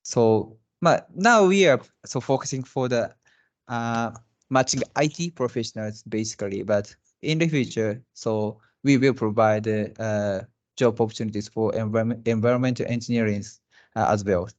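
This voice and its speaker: A man speaks in an ordinary mid-range voice as he answers questions in an online webinar. His non-native English is halting and packed with fillers and restarts as he hunts for words. The sound is that of a plain video call. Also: medium pitch. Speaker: company representative presenting jobs in Japan.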